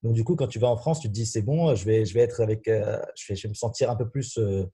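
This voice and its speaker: A man's voice: fairly low pitch, quick pace, warm tone, slightly rough. A young man from Morocco talking casually about himself as a guest on a student podcast. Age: young adult. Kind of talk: casual personal chat.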